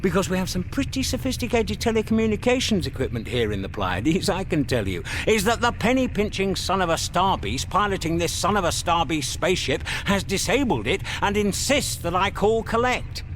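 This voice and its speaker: An actor playing an exasperated intergalactic hitchhiker in a radio comedy drama, indignant, wordy and sardonic. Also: male voice, high-pitched, sing-song, brisk, few pauses, a bit bright, slight background noise. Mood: ranting. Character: exasperated male intergalactic hitchhiker arguing with an operator.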